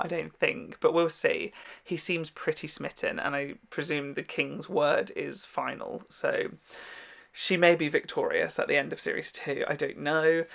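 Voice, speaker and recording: A man's voice: fairly high pitch, gravelly, a bit bright. A man giving a casual, candid TV reaction, rambling and gushing with some swearing. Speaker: reaction video host. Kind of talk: casual TV episode reaction chat.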